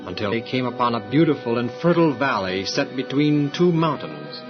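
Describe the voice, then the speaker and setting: A man's voice: medium pitch, very animated, a little fast. A man narrating a dramatized Bible story in a scripted storytelling style. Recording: muffled sound with music underneath.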